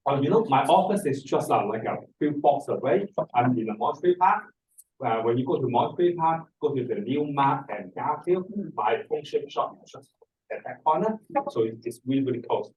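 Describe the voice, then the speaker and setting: A middle-aged or older man with a Hong Kong accent, speaking haltingly and repeating words as he presents an informal seminar. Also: medium pitch, lively intonation, a little mellow, muffled recording. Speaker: feng shui master, seminar speaker. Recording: distant microphone in a room.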